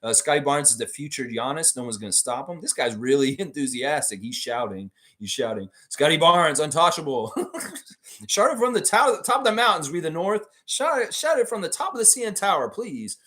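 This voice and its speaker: Animated man hosting a live sports chat. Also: normal speed. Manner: animated, laughs often, swings loud and high when joking. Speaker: sports podcast host reading live chat. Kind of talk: casual live-stream chat.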